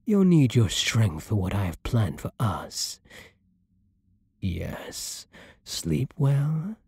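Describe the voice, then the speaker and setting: A man voicing a centuries-old, possessive vampire lord in an ASMR roleplay, silky and commanding with a breathy voice that often sinks into whispers. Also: lowish voice, big pitch swings, darkish tone, no echo, full character voice. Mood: possessive, soothing. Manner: slow, theatrical, silky, commanding, condescending. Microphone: close studio microphone, dry.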